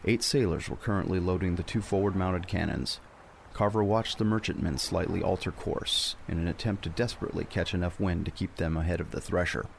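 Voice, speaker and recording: A man's voice: low voice, fairly even intonation, fairly quick, creaky, slight background noise. A man narrating a dramatic scripted adventure story as a storyteller.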